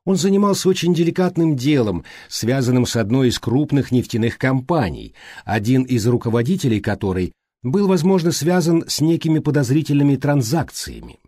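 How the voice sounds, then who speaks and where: A male audiobook narrator reads literary prose. His voice is mellow and moves freely in pitch. The recording is close and dry. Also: animated, fairly quick, no room sound.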